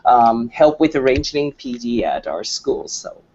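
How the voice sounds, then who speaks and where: A man's voice: medium pitch, animated, unhurried, a little mellow. A man from Hong Kong speaking casually and a little hesitantly in an online group call. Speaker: school curriculum coordinator. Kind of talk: casual introduction. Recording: dull lo-fi video call.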